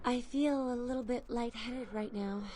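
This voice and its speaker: A woman's voice: a little high, steady tone, normal speed, crowd noise, lightly acted. A woman voicing a meek, woozy young servant of a vampire in a video game. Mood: woozy, compliant.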